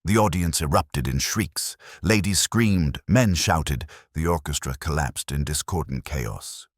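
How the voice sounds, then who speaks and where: A man narrating a period mystery audiobook in a solemn, theatrical way with deliberate phrasing. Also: extremely low pitch, no echo, clean studio sound.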